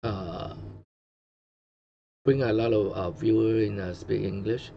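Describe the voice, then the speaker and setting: A man's voice: fairly low pitch, fairly even intonation, takes it slowly, creaky. Hong Kong-accented man, rambling lo-fi chat. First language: Cantonese. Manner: rambling, many fillers and restarts, chuckles often. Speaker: channel host. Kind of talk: casual commentary livestream. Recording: dull lo-fi sound with faint noise.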